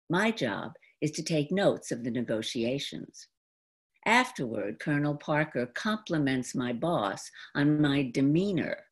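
Woman, 70s, American. Low, highly animated voice for theatrical personal storytelling, deliberately phrased. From New York, United States. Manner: deliberate, dramatic phrasing with pauses. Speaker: monologue performer. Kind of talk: theatrical autobiographical storytelling monologue. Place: indoor room at home. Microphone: computer mic on a video call.

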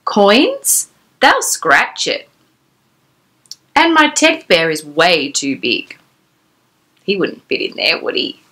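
Bright, animated woman storyteller for children. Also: fairly low pitch, slow, long pauses. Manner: exclamatory, sing-song, asking the child questions. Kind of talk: animated read-aloud storytelling for young children. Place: small decorated room indoors.

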